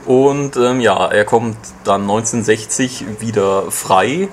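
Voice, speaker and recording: A man reviewing a video game in casual podcast chat, explaining at length with many fillers and pauses. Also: fairly low pitch, slight background noise.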